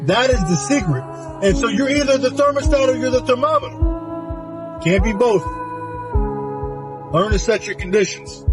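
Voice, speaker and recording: A man's voice: very high voice, expressive, moderate pace, pauses often. A man giving a motivational speech in punchy, repeated phrases. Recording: speech clip over music.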